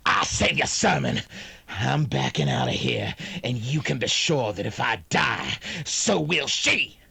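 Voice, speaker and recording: A man playing a sly, gloating outlaw killer in a western radio drama, mocking and menacing, with a husky, gravelly voice. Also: mid-range voice, wildly swooping pitch, a little slow, bright, forceful tone, heavily acted.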